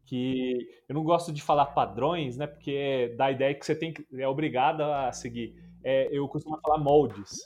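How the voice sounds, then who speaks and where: A man's voice: mid-range voice, steady tone, normal speed, hardly any pauses, darkish tone. A man with a Brazilian accent on a tech podcast, patiently explaining ideas with frequent tag questions. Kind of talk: casual tech discussion.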